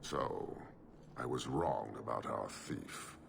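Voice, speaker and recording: A man playing a stern, imposing leader of a horned warrior race, gravelly, measured and disdainful, in a video game. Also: a bass voice, background noise, full character voice. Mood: cold contempt.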